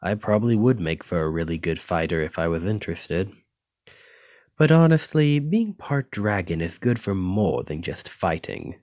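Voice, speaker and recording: Man playing a low-voiced, flirty dragon-blooded librarian. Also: expressive, normal speed, a little mellow, no echo. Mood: amused, explaining. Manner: intimate, teasing, uses pet names. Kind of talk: ASMR romantic roleplay.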